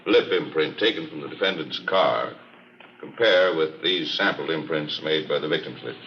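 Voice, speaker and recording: An actor playing a courteous, methodical prosecutor in an old radio courtroom drama, asking questions in a formal, deliberate manner. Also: male voice, very deep voice. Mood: formal, businesslike. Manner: formal, deliberate questioning with throat-clearing and hesitations. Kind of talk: 1950s radio drama acting. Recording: muffled, noisy old radio recording.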